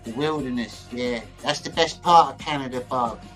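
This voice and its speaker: Bright-voiced northern English man with London slang. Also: medium pitch, normal speed, faint music behind, low-quality recording. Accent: northern English mixed with London. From Manchester, northern England, later lived in London. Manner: blunt, slangy, swears freely, repeats phrases. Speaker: livestream host chatting with viewers. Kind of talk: casual chat, reading and answering live comments.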